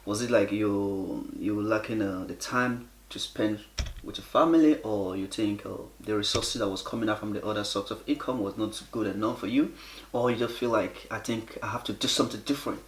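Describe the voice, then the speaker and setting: A man's voice: fairly low pitch, brisk, roomy sound, a little hiss or hum. A man hosting a casual interview, asking long, stumbling questions and nodding along with short agreements. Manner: encouraging, many short yeahs, stumbling questions. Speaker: host, interviewer.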